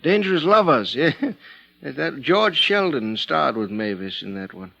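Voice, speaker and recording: A man's voice: medium pitch, lively intonation, a little slow, vocal fry, pushed and bright, heavily acted. An actor playing an elderly former film director turned mission brother, hesitant and fumbling his words, in a vintage radio drama. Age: older. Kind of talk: 1950s radio drama acting. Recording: dull lo-fi vintage radio recording.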